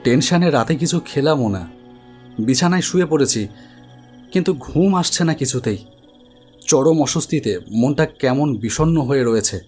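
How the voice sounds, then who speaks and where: An actor playing an anxious idol-maker who recounts a horror story in a dramatic, expressive first-person narration. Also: male voice, mid-range voice, normal speed, pauses often, natural voice. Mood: uneasy, brooding. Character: anxious male idol-maker recounting his ghostly encounter. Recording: studio voice over music bed.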